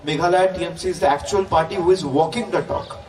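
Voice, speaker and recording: A man's voice: sits high, fairly even intonation, fast, a little mellow. A man with an Indian accent giving an emphatic, repetitive political stump speech at a public rally. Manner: emphatic, repetitive, with rhetorical questions. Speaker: political campaign speaker. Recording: distant, echoey, noisy public-address sound.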